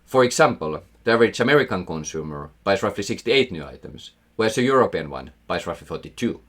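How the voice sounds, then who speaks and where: A man with a Finnish accent speaks in a low voice that rises and falls a great deal. He presents a scripted investing explainer with enthusiasm, throwing in dry, self-mocking asides. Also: fairly bright tone. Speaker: investing channel host.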